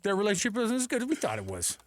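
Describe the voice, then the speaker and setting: A man's voice: sits high, wildly swooping pitch, racing, slight background noise. A man hosting a sports radio show in casual talk, often acting out imagined quotes.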